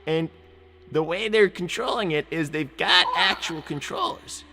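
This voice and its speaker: A man voicing a high-strung young cartoon fox inventor, stammering and melodramatically exasperated in improvised comedy. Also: measured pace, gravelly, over loud music. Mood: earnest explaining. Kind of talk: improvised in-character comedy livestream.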